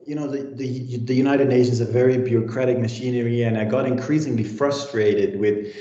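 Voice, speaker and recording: A man's voice: mid-range voice, fairly even intonation, brisk, dark tone, echoey room, lo-fi sound. A man in his forties from Denmark, a senior lecturer answering interview questions in a reflective way with many restarts.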